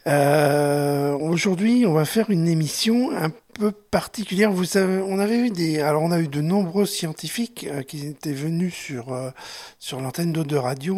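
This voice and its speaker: A man hosting a radio talk show, speaking in a relaxed, chatty way with fillers while he has a cold. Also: fairly high pitch. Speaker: radio host.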